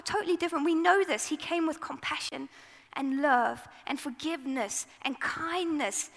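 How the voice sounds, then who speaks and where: Gravelly high South African woman preaching. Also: fairly bright tone, long reverb tail. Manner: conversational storytelling preaching. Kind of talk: sermon. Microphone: microphone.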